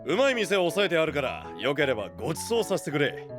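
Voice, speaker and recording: A man's voice: on the high side, very animated, fast, music in the background. A man playing a rugged, big-hearted young knight leader, brash and frank, in an anime audio drama. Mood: hearty, welcoming.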